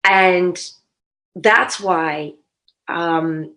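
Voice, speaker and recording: A woman with a fairly low, raspy voice that is hoarse from recent illness talks straight to camera. Her delivery is chatty and upbeat, and it keeps circling back on itself with ums and you-knows as she speaks from home late at night. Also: very slow, fairly bright tone. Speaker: physical therapy marketing vlogger. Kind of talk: casual talk to camera.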